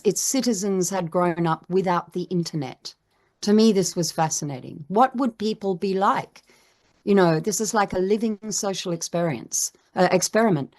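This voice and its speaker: A middle-aged woman with a fairly low voice, speaking as a documentary filmmaker in an interview. She answers in a reflective, measured way, pausing often as she gathers her thoughts. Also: darkish tone. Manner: reflective, measured, with frequent pauses.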